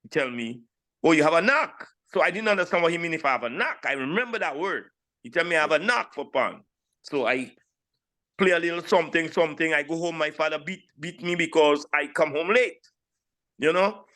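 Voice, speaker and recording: High, bright-voiced St. Lucian man in his sixties. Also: a little fast. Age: 60s. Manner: animated, repeats phrases for emphasis. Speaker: interview guest, steel pan player. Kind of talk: casual personal storytelling.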